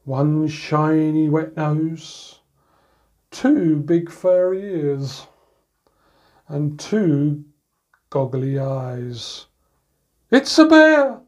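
Middle-aged male storyteller, grandad role, unhurried pace, expressive picture-book reading for small children. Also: fairly high pitch. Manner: expressive, with playful sound words and drawn-out phrases. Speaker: grandad reading bedtime stories. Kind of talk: picture-book read-aloud for young children. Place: indoors in a room at home, by a door.

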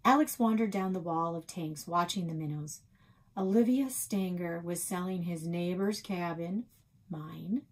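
Husky middle-aged woman reading a story. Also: on the low side, measured pace. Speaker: reader of a children's novel. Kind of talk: read-aloud storytelling. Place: small office, indoors.

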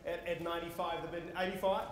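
High-pitched Australian male auctioneer, very echoey. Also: little pitch movement, fast talker. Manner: theatrical, projecting to the room, formally courteous. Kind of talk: live property auction calling. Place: on stage in a large indoor conference hall. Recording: echoey conference hall with noise.

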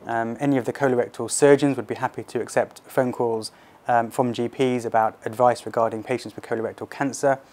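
A British man in his thirties with a mid-pitched voice speaks in a measured, clinical way. He explains like a consultant surgeon being interviewed for fellow doctors, recorded on a close mic indoors. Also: steady tone, a little mellow.

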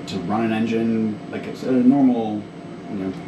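Guy off camera asking curious questions, lowish voice, echoey room w ac noise. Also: fairly even intonation, normal speed, highs cut off. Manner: curious, asks short follow-up questions. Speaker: interviewer. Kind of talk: casual questions. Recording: echoey cabin, distant mic, air conditioning hum.

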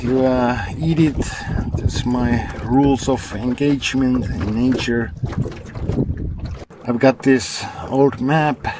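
A man's voice: medium pitch, background noise. A middle-aged man narrating a fishing vlog casually, in an understated, dryly humorous way. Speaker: fishing vlogger.

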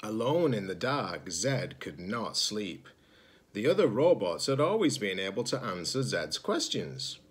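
A man in his forties, a teacher-librarian reading a children's picture book aloud, expressive and slightly husky, pausing to ask listeners questions. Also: on the low side. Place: indoors, room at home with sloped ceiling.

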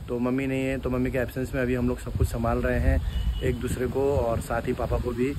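A man in his thirties chatting casually to viewers in a family vlog, filming himself with a phone held at arm's length. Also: mid-range voice. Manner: chatty, addresses viewers directly, asks them to comment. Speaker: family vlogger. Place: home and outdoor park. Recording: phone recording with background noise.

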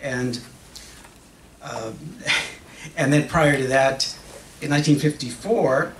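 A man's voice: mid-range voice, natural voice. Distant, echoey man acting as an earnest magazine publisher. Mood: concerned. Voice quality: bilingual, slipping between English and Spanish. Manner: deliberate, halting delivery in short phrases with pauses. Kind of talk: dramatic reading of a monologue on stage. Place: stage of an auditorium. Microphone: stand mic at lectern, recorded by distant camera. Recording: distant, echoey auditorium with background noise.

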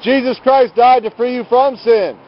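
A male street preacher with a very high-pitched, combative delivery. He scolds and argues loudly in a confrontational preaching style. The audio is a dull, lo-fi outdoor camera recording. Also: fairly even intonation, normal speed. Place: outdoors at night on a city sidewalk beside a road. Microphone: handheld camera. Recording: muffled lo-fi phone camera audio.

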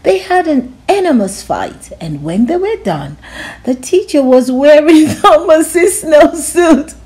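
A woman hosting a storytime channel, reading a children's picture book aloud slowly with lots of giggles and a bouncy delivery. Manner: slow, lively, giggly reading with big pitch swings.

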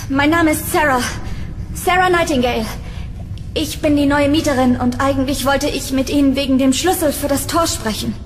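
A woman playing a curious, uneasy young tenant in a horror audio drama, with expressive delivery. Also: high voice, moderate pace, a little hiss or hum, natural voice. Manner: expressive, talking to herself. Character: curious, uneasy young female tenant exploring a creepy house. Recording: music behind the voice.

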